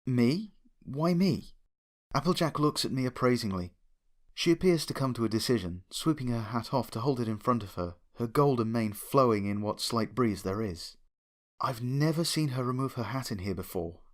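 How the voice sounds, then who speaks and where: A man's voice: medium pitch, expressive, fairly quick. A man reading a story aloud as a dramatic, scripted first-person narration. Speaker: fan fiction reader, narrator.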